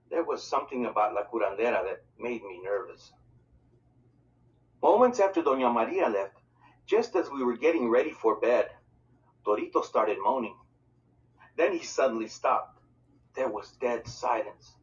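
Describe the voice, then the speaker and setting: A man reading a children's novel aloud as an expressive, dramatic narrator. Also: mid-range voice. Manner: dramatic, voices dialogue for characters. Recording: distant mic, dull lo-fi sound.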